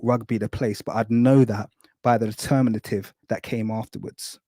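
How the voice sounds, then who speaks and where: A man lectures carefully on a live stream, walking through one point after another and promising to be quick. His voice is mellow and soft-edged. The sound is dry and close. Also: medium pitch, no room sound. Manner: thorough, apologetic, keeps saying really quickly. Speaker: guest explaining hieroglyphs.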